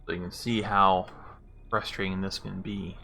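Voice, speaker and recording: A man's voice: low-pitched, little pitch movement, moderate pace, gravelly, pushed and bright, slight background noise. A man demonstrating a game voice assistant, giving spoken commands and questions with casual commentary.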